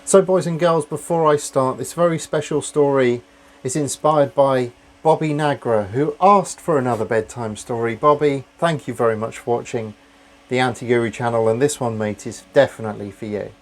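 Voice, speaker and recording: A man's voice: mid-range voice, normal speed. Sing-song storytelling man at his desk. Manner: sing-song storyteller, lifting his voice on fairy-tale phrases. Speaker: channel host. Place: home office.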